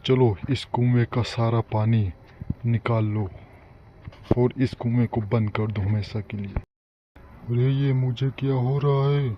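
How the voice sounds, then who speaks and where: A man's voice: medium pitch, lightly acted. A man playing a greedy village rich man in a cartoon moral story, given to repetitive pleading. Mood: greedy scheming. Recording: dull recording with faint noise.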